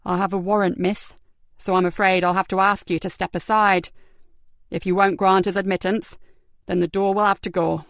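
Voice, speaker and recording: A woman voicing a brisk male police detective who speaks in a clipped, authoritative way. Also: mid-range voice, flat delivery, dry recording, lightly acted. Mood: firm.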